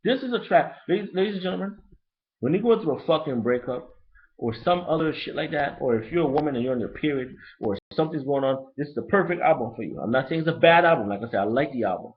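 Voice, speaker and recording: A man with a Haitian accent who grew up in Brooklyn, ranting bluntly and profanely in a casual, rambling album review. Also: fast talker. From Brooklyn, New York. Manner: profane, blunt and rambling, lively pitch swings. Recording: lo-fi dull recording.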